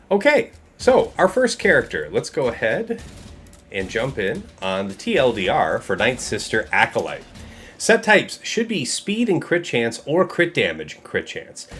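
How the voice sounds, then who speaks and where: A man's voice: mid-range voice, a bit bright, a little hiss or hum. A man in his forties with a stuffed-up head cold, casually explaining a mobile game build from his desk, reading out ability text as he goes. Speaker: game guide creator. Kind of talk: mobile game strategy guide. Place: small room indoors.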